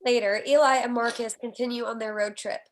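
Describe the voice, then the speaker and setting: A woman's voice: a little high, fairly even intonation, a little fast, a little hiss or hum. A woman reading stage directions as scripted narration at a screenplay table read.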